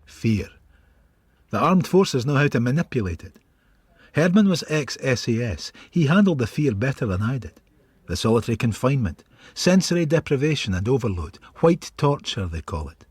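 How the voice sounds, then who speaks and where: An actor playing a brooding, sardonic middle-aged police detective in a radio drama, with a husky voice. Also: male voice, medium pitch, very animated, normal speed, darkish tone, dry recording.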